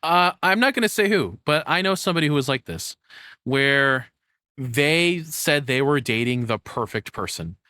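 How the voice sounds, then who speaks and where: A man's voice: medium pitch, bright, forceful tone, no room sound, studio quality. A man giving casual streamer reaction commentary in a blunt, informal way, with some swearing.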